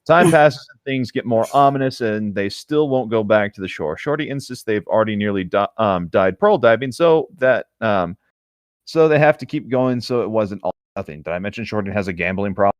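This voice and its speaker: A man's voice: lowish voice, lively intonation, brisk, no room sound, studio quality. A man co-hosting and casually reading a tabletop gaming story aloud. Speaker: podcast co-host.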